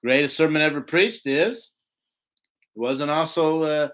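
Male Bible teacher, slightly high voice, informal lesson style, emphatic, rhetorical check-ins. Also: a little slow, fairly bright tone. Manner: emphatic, rhetorical, often seeking agreement with "right?".

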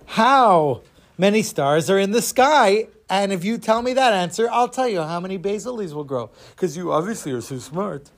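A man's voice: high voice, lively intonation, moderate pace. A man voicing a defiant young girl who talks sarcastically and emphatically in a told story. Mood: scornful. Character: feisty, defiant young girl, scornful and determined.

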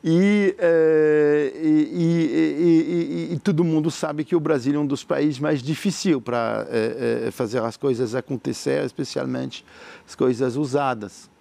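A French entrepreneur speaking Portuguese as a second language with a French accent, answering interview questions in an earnest, visionary way, halting often with fillers and repeated words. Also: male voice, a little high, vocal fry, warm tone. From France.

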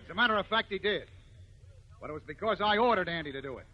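A man's voice: sits high, animated, quick pace. An actor playing an earnest, emphatic outdoorsman hero in a 1950s radio drama. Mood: earnest, insistent. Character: earnest outdoorsman hero defending his dog. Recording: muffled old radio recording.

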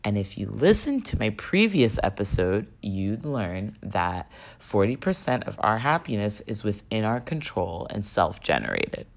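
Slightly raspy woman hosting a podcast. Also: very deep voice. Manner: chatty, poses questions to listeners. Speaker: podcast host. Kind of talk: solo podcast monologue.

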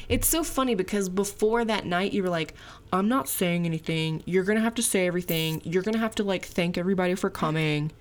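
A woman in her thirties co-hosting a podcast, chatting and teasing in a relaxed way with lots of "like". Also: medium pitch. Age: 30s. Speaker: podcast co-host. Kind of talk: casual couple chat.

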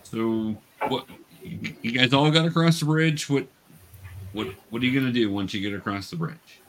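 Bright-voiced man, patient game master. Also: medium pitch, moderate pace, low-quality recording. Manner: hesitant, explanatory, patient with players. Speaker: game master teaching young players. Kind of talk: casual tabletop game narration and coaching.

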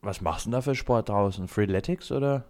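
A man's voice: fairly low pitch, brisk, darkish tone. A young man co-hosting a casual podcast chat, joking and laughing often. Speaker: podcast co-host.

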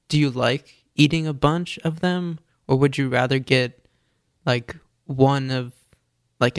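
A man's voice: mid-range voice, gravelly, no echo, studio quality. A man chatting casually as a podcast co-host, easygoing and filling his talk with "like". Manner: easygoing, filler-heavy with "like". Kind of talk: casual chat. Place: Los Angeles.

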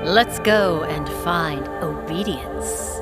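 A woman playing the composed, politely impatient leader of a witch band in a comic audio drama. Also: deep voice. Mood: dry, impatient. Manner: dry, measured, politely impatient. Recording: music underneath.

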